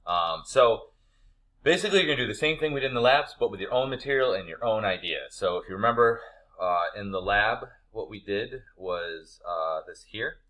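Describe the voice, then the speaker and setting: A male instructor with a low voice and a bright, forward edge. He walks students through an assignment in informal language, keeping an easygoing, laid-back manner. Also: lively intonation, normal speed, poor audio. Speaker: instructor of an animation class. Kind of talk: informal spoken assignment walkthrough.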